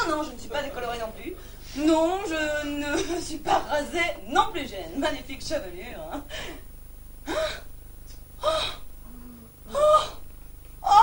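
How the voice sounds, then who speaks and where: A high-voiced, excitable woman, acted out by a female stage comic in a comedy monologue, heard from a distance through clear noise. She is naive and easily indignant, exclaiming and repeating herself with melodramatic flair. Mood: flustered, puzzled, then shocked, outraged. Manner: exclamatory, melodramatic, repeats words, talks to unseen listeners. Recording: distant mic, noisy live room recording.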